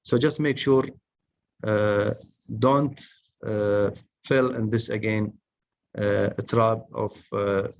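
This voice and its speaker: Halting man lecturing over muffled audio. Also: fairly low pitch, steady tone. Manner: halting, many fillers and restarts, non-native phrasing. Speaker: webinar speaker on research methods. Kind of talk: lecture to postgraduate students.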